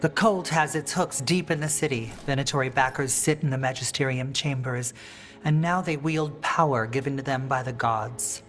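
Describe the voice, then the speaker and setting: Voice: mid-range voice, moderate pace, fairly bright tone, lightly acted. Urbane, wry fantasy aristocrat played by an actor. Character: poised, wry aristocratic former magister and rebel leader. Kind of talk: dramatic video game acting. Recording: faint music behind.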